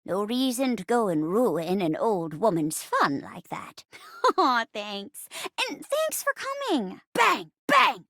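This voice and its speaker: A woman voicing a theatrical old woman who is a living chair in a video game, with stagey gasps and hushed asides. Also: big pitch swings, takes it slowly, dry recording, studio quality, heavily acted. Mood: wistful, playful. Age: older. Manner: stagey, gasping, swooping from hushed asides to high cries. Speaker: datable game character. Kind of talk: video game voice acting, murder-mystery melodrama.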